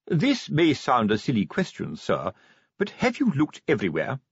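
A man's voice: mid-range voice, wildly swooping pitch, normal speed, breathy edge, no echo, muffled recording, voice pushed up, heavily acted. A man voicing a respectful, stolid police sergeant in an audiobook story. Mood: polite, dutiful. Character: deferential, plodding male police sergeant.

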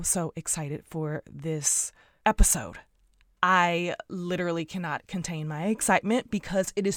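A woman hosting a casual podcast, bubbly and gushing as she introduces her guest with quick asides. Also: medium pitch, fairly bright tone, dry recording, clean studio sound. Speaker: podcast host.

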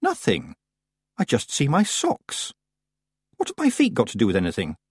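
An earnest young witch, voiced by a male storybook narrator. The voice is lifted high and varies a lot in pitch, with a direct, spirited way of talking. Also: huge pitch swings, moderate pace, breathy edge, no room sound, clean studio sound. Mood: bewildered, frustrated.

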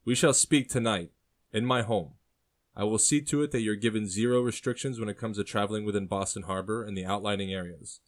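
A man voicing a stern, impatient older gentleman who is scarred and gray-bearded. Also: on the low side, brisk, close to an everyday voice. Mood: commanding. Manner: commanding, impatient, cold.

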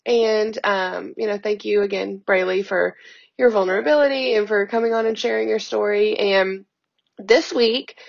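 A young woman hosting a casual podcast, her voice a little hoarse, talking in an upbeat, chatty way with many fillers, recorded from a hotel room. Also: mid-range voice, steady tone, normal speed, muffled recording. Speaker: podcast host. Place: hotel room, sitting on a bed.

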